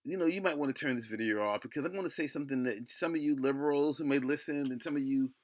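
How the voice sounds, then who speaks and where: A man's voice: medium pitch, quick pace. A middle-aged man with a lisp delivers a rambling, blunt opinion rant, cursing and breaking off mid-thought. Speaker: solo video blogger.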